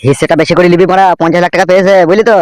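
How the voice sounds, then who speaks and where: গ্রামবাংলার টানে একজন পুরুষ কার্টুনে এক লোভী, মুখখারাপ, টিটকিরি-মারা ছোকরা চ্যালার গলা দিচ্ছে।. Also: male voice, sits high, steady tone, racing, a little mellow, dry recording, clean studio sound, close to an everyday voice. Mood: greedy. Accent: rural West Bengali. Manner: jeering, swaggering. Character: mocking, greedy young henchman, crude prankster. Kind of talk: amateur comedy cartoon dub.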